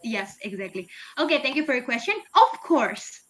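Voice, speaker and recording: A woman's voice: on the high side, sing-song, moderate pace, fairly bright tone, slight background noise. A young woman with an Indonesian accent, answering webinar questions in a chatty way, laughing often, with many fillers and restarts. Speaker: guest speaker, English teacher.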